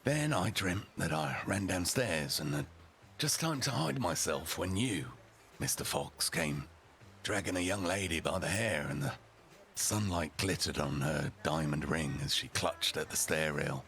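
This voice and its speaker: A man voicing a composed, coldly defiant young noblewoman in a storybook tale, gravelly and deliberate. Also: extremely low pitch, animated, fairly bright tone, slight background noise, exaggerated voice. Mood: cold, measured. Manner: deliberate, dramatic, icy.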